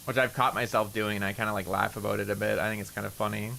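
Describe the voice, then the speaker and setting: A man's voice: fairly low pitch, flat delivery, rapid-fire, creaky, sharp tone, slight background noise. A young man from Canada with a slight rural Canadian accent and a nasal voice, chatting casually and rambling while streaming a game, swearing often and mocking himself. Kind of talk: casual gaming stream chat.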